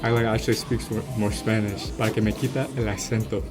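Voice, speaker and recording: Young man, casual vlog talk, reflective and slangy, loud music behind. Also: on the low side, level tone, fast talker. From United States. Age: 20s. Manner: reflective, earnest, slangy and rambling. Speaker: vlogger.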